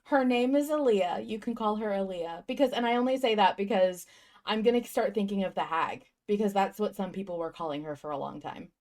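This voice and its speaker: A woman's voice: mid-range voice, fairly quick, darkish tone. A woman co-hosting a podcast, talking through her thoughts in a reflective, wordy, enthusiastic way in casual fan discussion. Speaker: podcast host.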